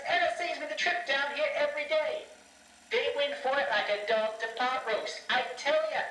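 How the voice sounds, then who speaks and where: A woman's voice: sits high, bright, forceful tone. An actress playing a sassy, put-upon working-class cleaning woman, wisecracking in a game audio log. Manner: chatty, wisecracking, slangy. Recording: distant echoey tape with faint music.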